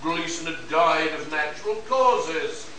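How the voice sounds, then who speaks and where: A man performs a cocky pulp sci-fi detective, high-pitched and forceful, delivering theatrical explanations in radio-play style. He is heard from afar in a very echoey hall with faint noise. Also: expressive, takes it slowly, recorded from a distance, heavily acted. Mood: smug accusing. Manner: theatrical, expository. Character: cocky male space detective explaining a murder.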